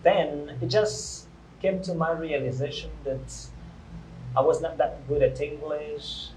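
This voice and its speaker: A young man in his twenties speaks English as a native Portuguese speaker, earnest and hesitant, often restarting his sentences. His voice sits a little high for a man. The room is echoey, with faint background noise. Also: fairly even intonation, slow, a little mellow. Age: 20s. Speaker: guest. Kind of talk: interview answers.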